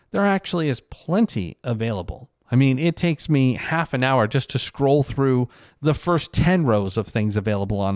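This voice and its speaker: A man's voice: mid-range voice, darkish tone, no room sound, studio quality. A man talking casually and reflectively on his own, in a conversational, rambling, self-deprecating way, like a vlogger. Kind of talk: casual reflective solo commentary.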